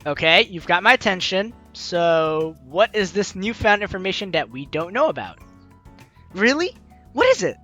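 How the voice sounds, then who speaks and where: A woman's voice: lowish voice, sing-song, normal speed, a bit bright, lo-fi sound, faint music behind. A young woman hosting a game playthrough, reading the story aloud expressively and commenting as she goes, close on the mic. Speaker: let's play host.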